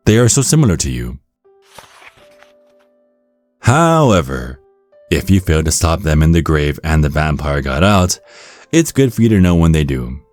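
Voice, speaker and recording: Low-pitched man narrating, lively intonation. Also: no room sound. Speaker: narrator and ASMR performer. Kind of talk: scripted ASMR narration. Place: close, dry recording with music behind.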